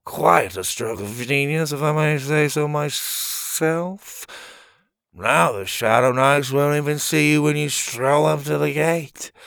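A man voicing an eccentric, ancient male wizard in a storybook reading, fussy and bossy, with a creaky, drawn-out delivery. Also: a little high, a bit bright, no room sound, pitched above the performer's own voice, exaggerated voice. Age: older. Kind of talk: storybook character voice.

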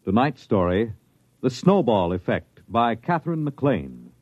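A man's voice: mid-range voice, very animated, measured pace. A man announcing a 1950s radio series in a scripted, portentous, declamatory style. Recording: muffled old radio broadcast. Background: prominent theme music.

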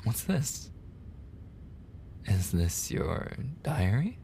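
A man plays a male college tutor in an intimate ASMR roleplay, easygoing and teasing. His soft voice sits low with a heavy creak, and he takes his time with casual, flirty pauses. There is faint noise behind him. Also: big pitch swings, exaggerated voice. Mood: teasing, curious. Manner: casual, hesitant pauses, soft-spoken, drops into whispers. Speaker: audio roleplay performer.

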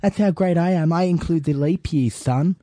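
A man's voice: normal speed, dry recording. A man talking as a boastful wrestler persona, brash and self-promoting, calling people son. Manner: brash, boastful heel persona, lively pitch. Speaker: wrestler guest in character.

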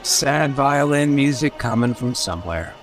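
An actor playing an irreverent, scheming rogue with a flippant, sardonic manner in a radio drama. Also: male voice, mid-range voice, lively intonation, unhurried, faint music behind, natural voice. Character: irreverent, scheming male rogue in detective mystery. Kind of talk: old-time radio drama acting.

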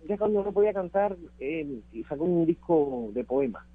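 A woman's voice: deep voice, expressive, moderate pace, husky. A woman from Cuba with a Cuban accent, reminiscing as a guest in a radio interview. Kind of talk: casual radio interview chat. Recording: tinny phone line.

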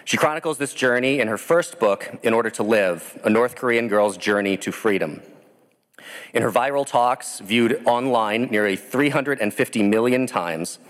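A man from the American Midwest introducing a guest speaker at a conference, earnest and filling pauses with "uh". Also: lowish voice, fairly bright tone. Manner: earnest, with frequent "uh" fillers. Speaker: event host introducing a speaker. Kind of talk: introduction speech. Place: large conference room. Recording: echoey lecture hall.

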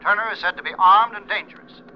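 A brisk radio newscaster through a car radio. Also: male voice, sits high, highs cut off. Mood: urgent. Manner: crisp, urgent bulletin delivery. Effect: heard through a car radio. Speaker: radio news announcer. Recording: thin car-radio sound with faint noise.

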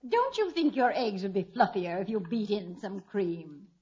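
A woman's voice: medium pitch, very animated, normal speed, darkish tone, natural voice. A woman playing a critical, bossy older mother-in-law, prim and condescending, in a 1940s radio comedy. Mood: haughty, critical. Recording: muffled old radio recording.

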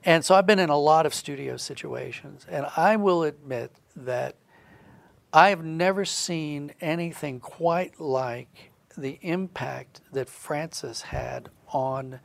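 Higher-pitched man with a creaky voice. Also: slow pace, no echo. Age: middle-aged. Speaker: guest, audio drama writer. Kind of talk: reflective conversational interview talk.

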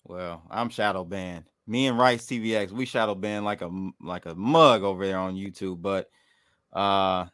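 A man's voice: low-pitched, normal speed, a bit bright, clear, resonant tone, no echo. Laid-back guy hosting a stream, repeats himself a lot, dry close mic. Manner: easygoing, repeats himself, laughs along. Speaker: crypto channel host. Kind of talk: casual livestream chat.

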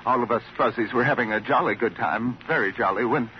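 A man's voice: medium pitch, a little fast, close to an everyday voice. A self-important, dithering club man as a male actor plays him in a vintage radio mystery. He talks in flustered, pompous repetitions, on a dull recording with faint noise. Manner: flustered, hesitant, repeats himself pompously. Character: fussy, self-important, dithering lodge club entertainment chairman. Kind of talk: 1940s-50s radio comic character acting.

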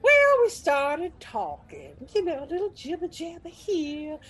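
A bubbly lady gushes in a high, slow drawl, sweet with barbs underneath. Her voice swoops dramatically in comedy-sketch style. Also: huge pitch swings, a bit bright, exaggerated voice. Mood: sugary. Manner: gushing, folksy, dramatic drawl. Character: bubbly, chatty, folksy woman monster-truck exhibitor, sugary with barbs.

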